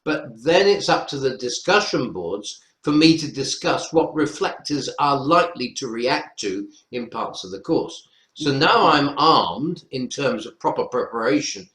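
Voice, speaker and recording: A man's voice: mid-range voice, animated, unhurried, a bit bright. North welsh guy explaining stuff on a webinar, lecturer type, echoey room. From North Wales. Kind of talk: explaining teaching practice in an online panel. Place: indoor room, online webinar. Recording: roomy echo on webinar audio.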